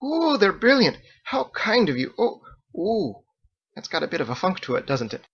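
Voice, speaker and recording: A man voicing a lively, delighted woman innkeeper in an audiobook story, with folksy, exclamatory chatter. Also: sits high, normal speed, gravelly, husky, muffled recording, pitched above the performer's own voice, exaggerated voice.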